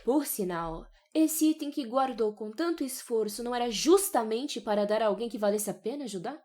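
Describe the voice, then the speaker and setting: A woman's voice: a little high, animated, moderate pace, darkish tone, close to an everyday voice. A woman with a Brazilian accent acting a fiercely protective, provoking mother who drives hard bargains in an audio novel. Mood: smug, pressing. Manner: assertive, taunting.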